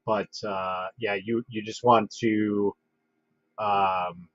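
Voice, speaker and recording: A man with a lowish voice chats casually to his livestream viewers, stuffed up with a head cold. He wanders through his thoughts with plenty of ums and restarts. The sound is dry and somewhat dull, like a basic home streaming setup. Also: no room sound. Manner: relaxed, halting, many fillers and restarts. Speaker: livestream host.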